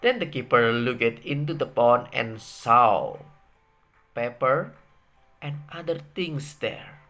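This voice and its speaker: A person reading a children's story aloud in a deliberate, halting delivery. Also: medium pitch, animated, fairly bright tone, faint music behind. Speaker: storybook reader.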